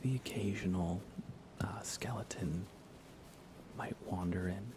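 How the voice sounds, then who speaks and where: A man speaking in a soft, breathy whisper, giving unhurried, gently playful ASMR commentary while he plays a video game for viewers. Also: sits low, background noise. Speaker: livestreamer playing a video game.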